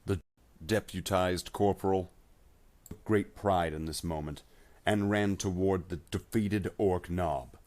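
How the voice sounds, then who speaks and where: A man reading scripted dramatic fiction as a narrator, with measured and deliberate phrasing. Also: low-pitched, a little mellow.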